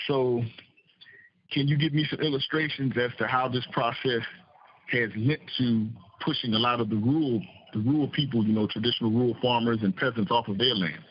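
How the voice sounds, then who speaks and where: A man leading a political discussion, explaining in a halting, didactic way. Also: medium pitch, fairly even intonation, lo-fi sound, slight background noise. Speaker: discussion host.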